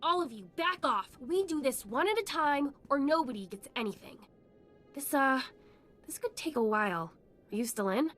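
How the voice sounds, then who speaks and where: A woman's voice: high voice, expressive, moderate pace, fairly bright tone, soft background music. A woman playing a tough, protective, worn-down young refugee in a video game, blunt and guarded. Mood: firm.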